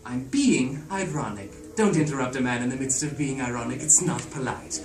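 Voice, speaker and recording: A man's voice: medium pitch, brisk, close to an everyday voice. A man voicing a flamboyant, vengeful male host of a haunted mansion, declaiming theatrically in a roomy recording from a distant mic. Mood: sardonic. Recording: roomy sound from a distant mic, faint hiss.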